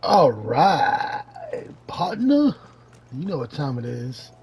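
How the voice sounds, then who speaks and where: Slow, high-pitched male game streamer. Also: sing-song, a crowd in the background. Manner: talks to himself while playing. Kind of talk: casual live game commentary.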